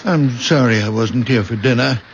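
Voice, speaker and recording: An actor playing a very old, gentle, absent-minded father with a tender, halting manner in an old-time radio drama. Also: mid-range voice, close to an everyday voice. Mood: mild, absent-minded. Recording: dull, noisy old radio broadcast.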